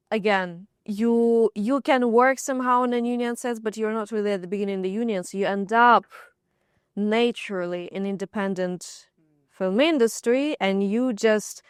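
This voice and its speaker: Ukrainian-accented woman, clear and close. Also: medium pitch, no room sound. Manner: thoughtful, searching for words. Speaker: panelist, filmmaker and actor. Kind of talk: roundtable discussion.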